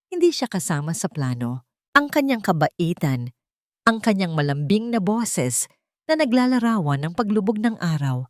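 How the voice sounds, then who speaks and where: A woman's voice: on the low side, a little mellow, no echo, clean studio sound. A woman reading a scripted romantic drama as a storyteller, in a dramatic narrating style.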